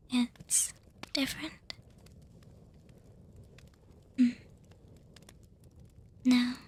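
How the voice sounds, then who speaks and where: A woman voicing a young, doting, refined vampire girlfriend in an ASMR roleplay, whispering breathily with hesitant pauses and soft hums. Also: on the high side, husky, full character voice. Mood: tender, hesitant. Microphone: close microphone, dry.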